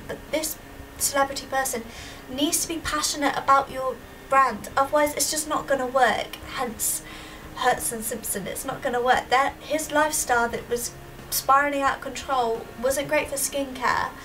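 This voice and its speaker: Young female student presenter, high voice, earnest and explanatory, recorded at a distance from the mic. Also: fairly bright tone. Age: young adult. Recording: distant microphone with audible background noise.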